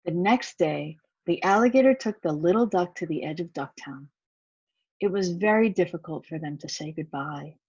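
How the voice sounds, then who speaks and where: Lowish, slightly husky woman reading a storybook. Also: animated, normal speed, a little mellow, no room sound, muffled recording. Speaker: volunteer story reader. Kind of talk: children's picture book read-aloud with asides to listeners.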